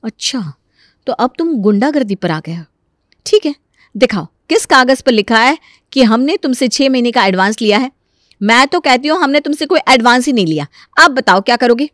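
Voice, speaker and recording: A sharp-tongued married landlady acted by a woman in a comic cartoon story. Her voice is high and exclamatory, scolding with indignation. It is recorded very dry. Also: expressive, moderate pace, dry recording. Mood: confrontational. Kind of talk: Hindi comic story dramatization.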